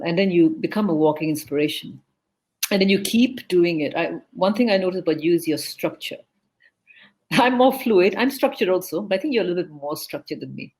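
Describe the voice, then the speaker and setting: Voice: medium pitch, darkish tone. An event host giving a rambling, effusive introduction to a speaker over a video call, with many fillers. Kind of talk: live online event introduction.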